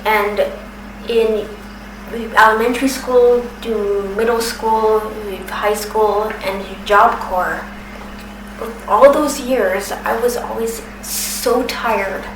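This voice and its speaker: A woman in her thirties who stutters, speaking slowly with pauses and restarts in a personal testimony. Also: a little high, fairly even intonation. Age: 30s. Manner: stutters, with repeated sounds and restarts; slow, careful, with pauses. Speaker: documentary submitter. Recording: roomy, distant from the mic, noisy background.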